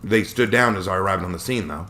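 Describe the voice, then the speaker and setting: A man reading a story aloud and voicing a steady, protective young military man close to his own deep voice. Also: fast talker, a little hiss or hum. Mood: earnest.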